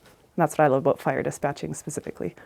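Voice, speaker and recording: Low-pitched Canadian woman explaining her job. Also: steady tone, quick pace, creaky, darkish tone. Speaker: emergency fire dispatcher. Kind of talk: informal career talk to camera.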